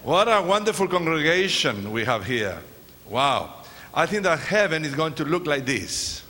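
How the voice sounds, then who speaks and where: A man's voice: on the high side, big pitch swings, normal speed. Chilean-accented man preaching in a reverberant hall. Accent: Chilean Spanish. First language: Spanish. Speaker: guest preacher. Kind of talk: sermon with informal, joking remarks to a congregation. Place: large church, speaking from the stage. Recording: reverberant church hall, congregation noise.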